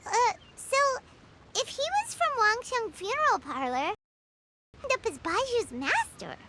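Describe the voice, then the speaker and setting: A woman's voice: very high voice, big pitch swings, unhurried, background noise, heavily acted. A woman voicing a small, childlike, curious fairy companion in a video game, chirpy and exclaiming. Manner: speaks of self in third person, exclaiming. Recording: loud music behind the voice. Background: loud playful music.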